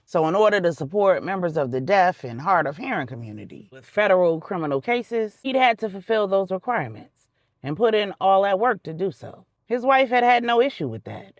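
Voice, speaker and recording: A woman's voice: mid-range voice, dry recording, clean studio sound. A woman narrating a scripted drama story for adults in a dramatic, colloquial storytelling manner with slangy touches.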